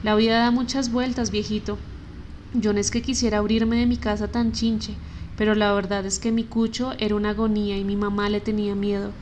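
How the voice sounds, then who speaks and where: A woman voicing a street-hardened homeless man who talks in relaxed slang, in a flat, even delivery. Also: sits high, fairly quick, dull audio, slight background noise, close to an everyday voice. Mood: laid-back.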